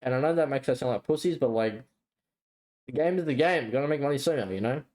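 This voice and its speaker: A man's voice: medium pitch, lively intonation, fast, a little mellow. A man co-hosting a podcast in casual chat, explaining things in a hesitant, rambling way. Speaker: podcast co-host.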